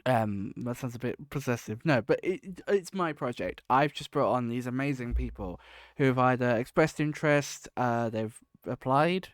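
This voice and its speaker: A man's voice: medium pitch, moderate pace, no echo. A man hosting his own podcast, talking casually and rambling, correcting himself often, a little sniffly. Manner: rambling, self-correcting, warmly grateful. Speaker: podcast host and project lead. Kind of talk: casual solo podcast talk.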